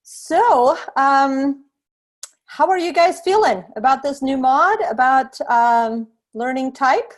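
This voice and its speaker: A woman's voice: sits high, slow pace. Woman instructor, 30s, from Sweden; casual online lecture, computer mic, easygoing with lots of fillers. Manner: relaxed, many fillers and restarts.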